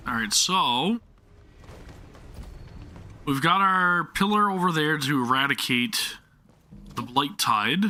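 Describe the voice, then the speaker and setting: A man's voice: on the high side, sharp tone. A young man chatting through a live game stream, self-deprecating and often restarting his sentences. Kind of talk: live gameplay commentary.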